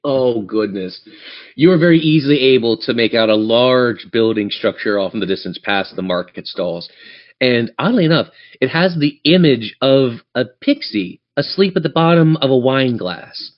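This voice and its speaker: A man with a mid-pitched voice runs a fantasy tabletop game as game master. He narrates scenes with drama, recorded close and dry with slightly dulled sound. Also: animated, moderate pace, no room sound, muffled recording.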